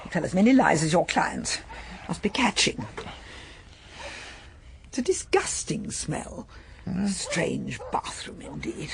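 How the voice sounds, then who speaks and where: An imperious older wife in a radio play, scolding and formidable. Her gravelly voice swoops wildly up and down, over faint noise. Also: sing-song, takes it slowly, pauses often, exaggerated voice. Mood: indignant, scolding. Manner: booming, imperious. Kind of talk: radio drama dialogue.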